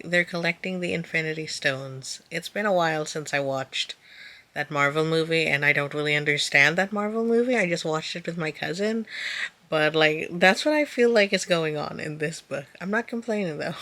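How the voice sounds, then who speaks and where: A woman speaks in a casual vlog style with a voice pitched a little below average. She is chatty and informal, thinking aloud as she shares reactions to her reading. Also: fairly bright tone. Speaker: book vlogger.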